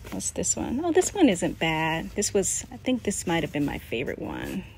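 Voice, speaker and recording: A woman's voice: on the low side, huge pitch swings, moderate pace, vocal fry, darkish tone. An American woman in her late forties giving a casual, chatty, nostalgic and self-deprecating show-and-tell commentary. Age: late 40s. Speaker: vlogger showing a personal scrapbook. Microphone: handheld phone. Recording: noisy handheld home phone recording.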